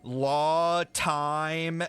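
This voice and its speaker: A young man streaming a game reaction, thinking out loud with excited speculation and casual swearing. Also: on the high side, drawn-out words, clear, resonant tone.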